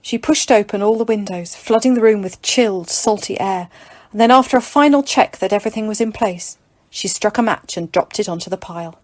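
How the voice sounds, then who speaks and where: A woman reading scripted fiction as an audiobook narrator, in a measured, dramatic storytelling manner. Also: medium pitch, darkish tone, dry recording.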